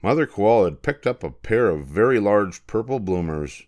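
Older male storybook narrator, deep register, reading for children. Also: expressive, moderate pace, a little mellow.

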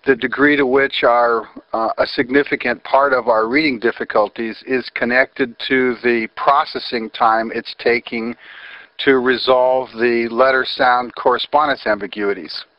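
A man's voice: mid-range voice, fairly even intonation, no echo. Earnest American man interviewing by phone. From United States. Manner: earnest, wordy, pauses with fillers. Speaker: interviewer, host. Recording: dry, slightly muffled phone recording.

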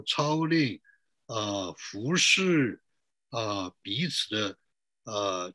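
Man, pastor, husky, lively rising and falling pitch, sermon, dry sound. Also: dry recording. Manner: rhetorical questions with drawn-out, emphatic phrases and frequent "ah" tags. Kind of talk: sermon for an online church service.

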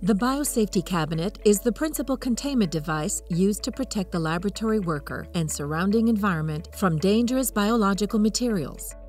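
A woman reading scripted narration in a measured, formal manner. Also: medium pitch, lively intonation, darkish tone, soft background music.

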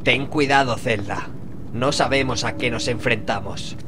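A man's voice: medium pitch, unhurried, noisy background, natural voice. A man playing a protective, vigilant young swordsman in a video game dub, serious and guarded, with a Castilian Spanish accent. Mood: wary. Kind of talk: dramatic video game dubbing.

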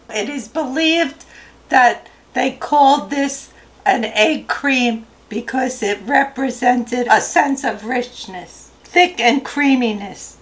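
An older woman hosting a cooking and travel vlog, narrating in a careful, gentle scripted voice-over. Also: a little high. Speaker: channel host.

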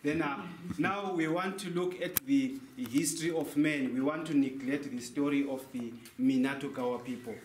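A man's voice: mid-range voice, fairly even intonation, normal speed, hardly any pauses. Young man guiding a tour in an echoey cave. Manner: explains with questions and answers. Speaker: tour guide. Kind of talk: guided cave tour talk. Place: large cave, indoors. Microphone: handheld mic, camera a few meters away. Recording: echoey cave, faint noise.

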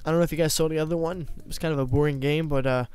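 A young man doing casual gameplay commentary from a small room at home, his voice going hoarse, rambling and joking at himself with sudden loud laughing outbursts. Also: on the high side, fairly even intonation, very fast, soft background music. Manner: rambling, self-mocking, bursts into loud laughing exclamations. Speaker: gaming YouTuber.

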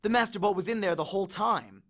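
A man's voice: high voice, expressive, fast talker, a bit bright, voice pushed up, full character voice. A man voicing an earnest, defiant young boy hero with a blunt, accusing way of talking, as an audiobook character.

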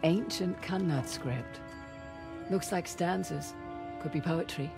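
A woman's voice: lowish voice, slow pace, vocal fry. An actress playing a wry, adventurous female treasure hunter in a video game, with dry, knowledgeable, teasing delivery. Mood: curious. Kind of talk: video game acting, naturalistic dialogue. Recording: game soundtrack music underneath.

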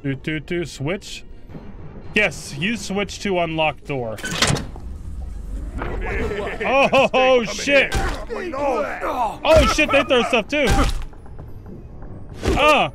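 A man giving casual, excitable let's play commentary, talking along with the action as he plays. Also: sits high, very slow, long pauses, loud music behind the voice. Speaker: gaming video host.

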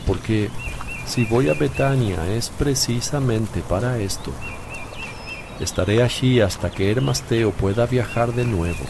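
An actor playing a calm, wise male teacher with gentle authority in a solemn religious radio play, speaking in a measured way. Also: mid-range voice, gravelly, background noise. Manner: measured, deliberate. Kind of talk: slow, solemn radio drama acting.

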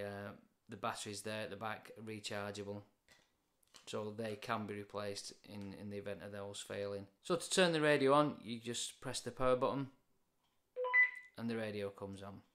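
A man's voice: on the low side, a bit bright. A British man in his forties explains things in a plain, matter-of-fact way, as in an informal product review.

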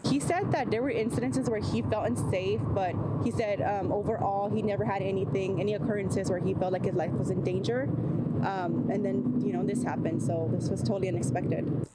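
A woman interviewed outdoors, speaking haltingly with many fillers into a reporter's handheld mic. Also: mid-range voice, fairly even intonation. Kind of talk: news interview. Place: outdoors at a gas station. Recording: loud outdoor traffic noise.

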